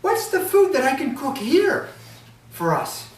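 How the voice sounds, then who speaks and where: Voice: high-pitched, wildly swooping pitch, measured pace, pitched above the performer's own voice, exaggerated voice. A boastful young trickster man whose voice jumps well above the teller's own and swings widely, as a storyteller puts him on. He sounds distant, with a roomy echo and faint ambience around him. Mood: pleading. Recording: echoey room, from far off, faint ambience.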